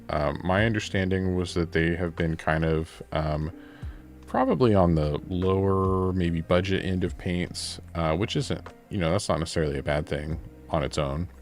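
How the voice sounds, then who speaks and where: A man chatting casually during a livestream while he paints miniatures, relaxed and rambling, often correcting himself. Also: low-pitched.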